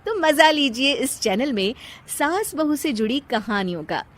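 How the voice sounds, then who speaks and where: High-voiced woman, playful storyteller. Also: sing-song, a little slow. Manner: playful, addresses listeners directly. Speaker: storyteller narrator. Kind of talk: scripted story narration.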